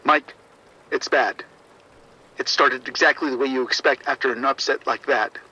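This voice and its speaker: An actor playing a shaken security officer giving urgent, grim reports over radio in a horror audio drama. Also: male voice, mid-range voice, fairly even intonation, moderate pace, sharp tone, husky. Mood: alarmed. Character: shaken male arena security officer reporting a violent outbreak. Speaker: security supervisor. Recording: muffled, band-limited radio transmission.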